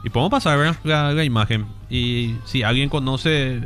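Chatty radio host, relaxed. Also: male voice, medium pitch, normal speed, soft background music. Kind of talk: casual radio chat.

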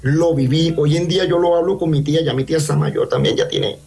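A man in his thirties with a coastal Colombian accent, calling in to tell a personal ghost story, halting with many restarts and fillers. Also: fairly high pitch, gravelly, a little mellow, breathy edge. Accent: Colombian coastal Spanish. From Colombian Caribbean coast. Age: 30s. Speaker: caller.

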